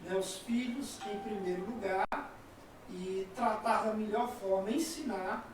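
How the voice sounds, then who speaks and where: A man's voice: high voice, a little slow, background noise. Brazilian man in his forties, echoey. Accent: Brazilian Portuguese. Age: 40s. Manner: calm, agreeing, tells personal examples. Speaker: talk show guest. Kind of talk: conversational talk. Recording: echoey room, voice from far off.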